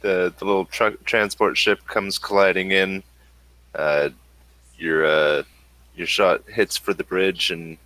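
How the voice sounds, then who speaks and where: Male game master, deep and bright-toned, halting improvised narration, dry recording. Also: poor audio.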